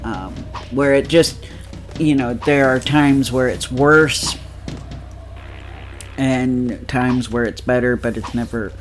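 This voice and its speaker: A man's voice: medium pitch, takes it slowly, pauses often, faint music behind. A man with a nasal voice chatting casually while playing a game, rambling with lots of fillers and laughs. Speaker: let's play co-host. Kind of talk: casual chat over gameplay.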